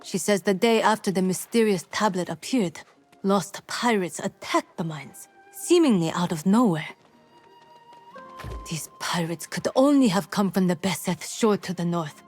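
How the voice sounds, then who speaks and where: An actress playing a composed, dutiful noblewoman regent in a video game, speaking in a formal, measured, stately manner. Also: on the low side, a little mellow, soft background music, close to an everyday voice. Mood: composed, grave. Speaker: game character giving quests. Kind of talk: scripted video game dialogue.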